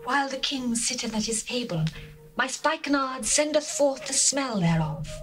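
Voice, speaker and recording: A lovesick young bride, tender and lyrical, as a woman plays her, her fairly high voice at times sinking to a hush. Soft music plays faintly underneath this dramatized scripture reading. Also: animated, normal speed. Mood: longing.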